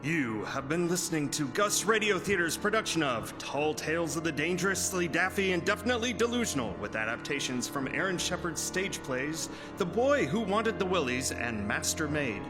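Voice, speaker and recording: A man's voice: a little high, very animated, normal speed, few pauses, over music. A man reading scripted closing credits as a showy radio announcer.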